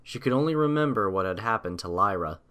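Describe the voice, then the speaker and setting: A man reading a story aloud as scripted audiobook narration, in a measured, literary storytelling manner. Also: on the low side, expressive, sharp tone.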